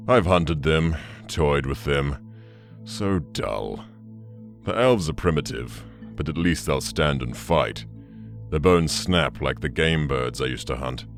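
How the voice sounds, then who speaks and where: An actor playing an ancient, bitter demon conqueror in an audio drama, brooding and contemptuous in a menacing monologue. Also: male voice, a bass voice. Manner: slow, menacing, brooding delivery.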